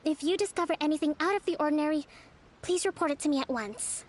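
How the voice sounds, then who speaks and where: A woman playing a composed, caring young resistance leader in a video game, speaking in a formal, measured, earnest way. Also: high voice, close to an everyday voice. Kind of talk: scripted video game dialogue.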